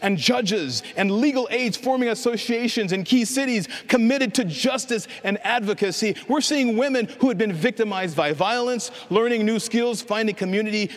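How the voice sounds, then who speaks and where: Man, pastor, preaching, earnest, impassioned, somewhat high-pitched, long hall echo. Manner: earnest, building to impassioned proclamation. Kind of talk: sermon.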